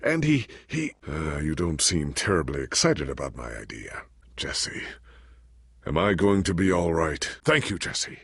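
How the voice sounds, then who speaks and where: An actor playing a proud but anxious, forgetful legendary warrior in a video game, with an earnest, formal, theatrical delivery. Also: male voice, very deep voice, very animated, a little slow, fairly bright tone, slightly rough, dry recording, studio quality, full character voice. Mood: subdued, wistful. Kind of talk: dramatic video game voice acting.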